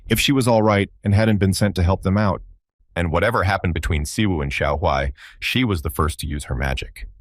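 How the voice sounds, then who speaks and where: A man reading a scripted story recap in a steady read-aloud way. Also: lowish voice, no echo, clean studio sound. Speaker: recap narrator.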